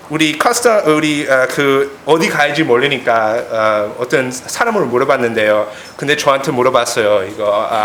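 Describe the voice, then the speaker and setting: A young man with an American accent preaches with personal anecdotes, pausing often to search for words. His pitch sits in the middle for a man. The room echoes around his voice, with a little noise behind it. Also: fairly bright tone. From United States, Korean immigrant family. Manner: halting, searching for words, simple phrasing.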